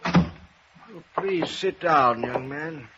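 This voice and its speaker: An actor playing an older preacher who was once a film director, troubled and courteous, speaking haltingly in 1950s radio drama style. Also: male voice, fairly high pitch, heavy background noise. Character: troubled preacher, former silent film director, guarded and confiding. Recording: muffled vintage radio recording.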